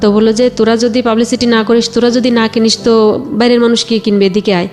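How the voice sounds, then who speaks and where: A Bangladeshi woman telling her own life story conversationally, a little haltingly, quoting remembered exchanges. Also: fairly high pitch, level tone, darkish tone, soft background music. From Bagerhat area, Bangladesh.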